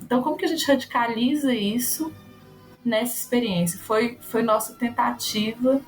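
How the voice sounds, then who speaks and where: A woman's voice: a little high, normal speed, faint music behind, poor audio. A woman from Brazil, an actress and educator, talking casually and animatedly about her work, thinking aloud with rhetorical questions. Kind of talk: casual interview talk.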